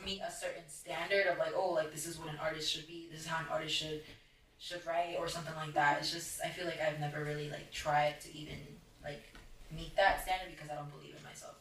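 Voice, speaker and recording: A woman's voice: low-pitched, level tone, fairly quick, a bit bright, roomy sound, distant mic, poor audio. A young woman whose first language is Spanish, guesting on a casual podcast and chatting in a rambling, self-doubting way with many fillers. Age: young adult.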